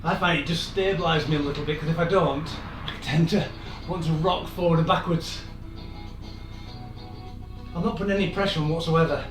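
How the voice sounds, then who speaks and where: A man, hoarse and losing his voice, leading a live-streamed workout with chatty, encouraging asides. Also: on the high side, fairly even intonation, fairly quick, recorded from a distance. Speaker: fitness instructor. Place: room in an old house. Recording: echoey room, off-mic, music behind.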